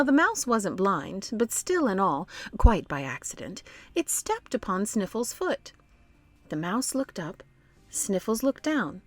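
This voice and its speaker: A woman's voice: a little high, no echo. A woman hosting a children's read-along, narrating expressively and speaking directly to young listeners. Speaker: storytime host and narrator.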